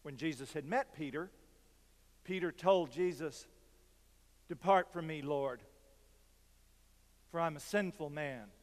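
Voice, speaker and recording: An older man delivers a sermon from the pulpit at a very unhurried pace, pausing between phrases. His voice sits a little high for a man, and it rings out with a long reverb through a large church sanctuary. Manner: slow, measured preaching with dramatic pauses. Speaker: pastor.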